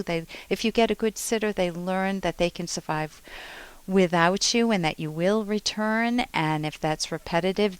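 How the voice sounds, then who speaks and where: Clear-voiced middle-aged woman giving advice. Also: on the low side, no echo, rough recording. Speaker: psychologist advice show host. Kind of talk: conversational call-in advice.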